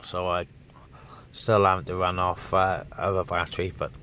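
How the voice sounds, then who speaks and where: A man chatting on a CB radio net from inside his parked vehicle, pausing often on fillers. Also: sits low, flat delivery, pushed and bright, a little hiss or hum. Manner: chatty, with many filler pauses. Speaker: CB radio operator. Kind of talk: casual radio chat and vlog talk.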